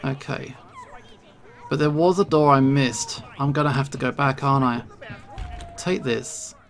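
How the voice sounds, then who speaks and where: A video game streamer talks through play in a let's play style. The voice sits at a medium pitch with plenty of gravelly fry. The delivery is jittery and anxious, like someone muttering to themselves as they go. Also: measured pace. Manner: nervous, jittery self-talk.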